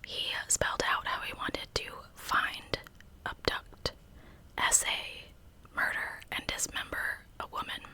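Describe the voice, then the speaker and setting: A woman telling true crime stories as ASMR in a breathy, unvoiced whisper with a conversational manner. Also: slow, pushed and bright. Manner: conversational narration.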